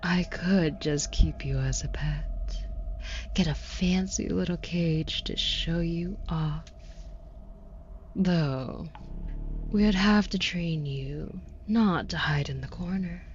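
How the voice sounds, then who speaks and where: Low, slow, haughty demon woman, acted by a woman. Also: muffled recording, a little hiss or hum. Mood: menacing, taunting. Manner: slow, condescending, mock-sweet taunting. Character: haughty, predatory female demon buyer, cruelly teasing. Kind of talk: intimate audio roleplay acting.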